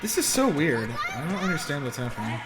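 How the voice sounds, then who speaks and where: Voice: mid-range voice, very animated, quick pace, background noise. A co-host giving casual movie reaction commentary, often explaining video game references to the others.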